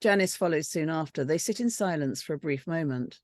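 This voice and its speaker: A woman narrates stage directions from a script. Her pitch is a little low for a woman. The recording is very dry, with hardly any room sound. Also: lively intonation, fairly quick, darkish tone, no echo. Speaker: script panel reader reading stage directions.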